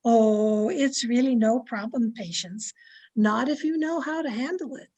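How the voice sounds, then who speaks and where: A high-pitched, cheerful older aunt played by a woman in an old-time radio play. She chatters knowingly and insists gently, a born meddler in young people's romances. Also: unhurried, slightly rough. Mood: cheerful, scheming.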